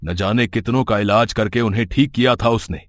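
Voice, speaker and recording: A man's voice: fairly low pitch, brisk, dry recording, clean studio sound, lightly acted. A man playing a middle-aged village headman in an audio story, stern, suspicious and authoritative. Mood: reproachful. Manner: scolding, authoritative. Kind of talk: dramatic story dialogue.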